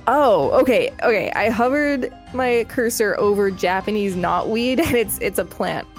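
A woman co-hosts a podcast in a medium-pitched voice. She reads an article aloud and drops in casual asides. Music plays behind her. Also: moderate pace. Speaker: podcast co-host.

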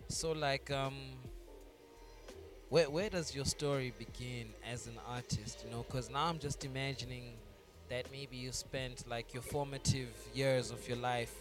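A man's voice: medium pitch, steady tone, a little slow, over music. A man hosting a casual interview in an informal, slangy way, probing with follow-up questions. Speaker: podcast host, interviewer.

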